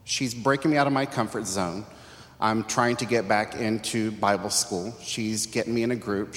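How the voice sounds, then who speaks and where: A man's voice: medium pitch, fairly bright tone. Earnest man giving church testimony. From Texas. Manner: earnest, conversational. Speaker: church panel member. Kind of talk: personal testimony. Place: church sanctuary. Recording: long hall reverb.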